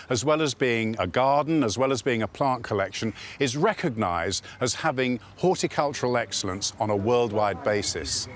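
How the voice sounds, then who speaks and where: A man presenting a documentary about a garden, speaking in a measured, explanatory way. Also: mid-range voice, slight background noise. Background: background music.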